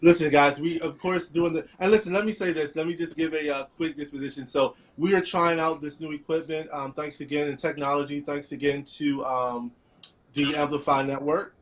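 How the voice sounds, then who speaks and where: A man hosting an online panel discussion in a halting way, repeating phrases, from a room at home on a video call. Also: a little high, steady tone. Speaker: host. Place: small room at home, indoors.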